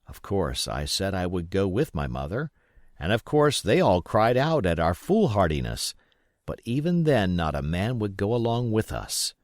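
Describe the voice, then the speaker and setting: A man reading a classic adventure novel aloud in expressive scripted narration. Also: low-pitched, normal speed, no room sound, studio quality. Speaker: audiobook reader.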